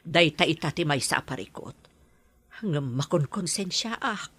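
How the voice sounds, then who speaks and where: A woman with an Ilocano accent playing a worried middle-aged mother in an audio drama, in a husky voice. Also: low voice, lively intonation, moderate pace, fairly bright tone, no room sound, exaggerated voice. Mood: troubled. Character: worried mother guarding her adopted child.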